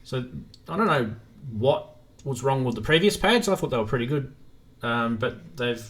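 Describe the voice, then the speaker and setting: A man's voice: mid-range voice, animated, moderate pace, a bit bright. A man hosting a live stream in a casual, informal chat, thinking aloud as he goes.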